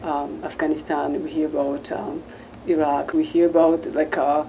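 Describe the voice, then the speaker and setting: A middle-aged woman from Burundi with a Burundian accent, speaking haltingly as a panelist. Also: low voice, level tone, gravelly, dark tone, recorded from a distance, a little hiss or hum, poor audio. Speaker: panelist, community liaison. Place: conference room, indoors.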